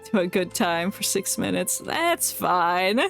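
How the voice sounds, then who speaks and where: A woman's voice: on the low side, animated, takes it slowly, vocal fry, a bit bright. A woman giving casual live game commentary, giggly and chatting away to herself and to the characters in the game. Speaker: game streamer. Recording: background music under voice.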